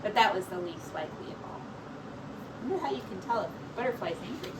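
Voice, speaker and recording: A woman teacher reading a picture book aloud to young children in an expressive, encouraging way. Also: medium pitch, a little fast. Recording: distant mic with clear background noise.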